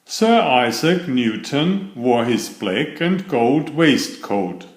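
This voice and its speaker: A man reading a children's story aloud in an expressive, deliberate, dramatic manner, stretching key words. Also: mid-range voice. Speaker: children's story narrator and author. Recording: roomy, echoey sound.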